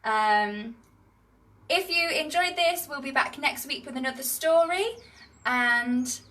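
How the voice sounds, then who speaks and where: High, bright-voiced woman reading to children. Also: recorded from a distance. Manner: animated, dramatic pauses, addresses children directly. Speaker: children's storyteller. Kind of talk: expressive picture-book reading and chat to young viewers. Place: livestream on several screens.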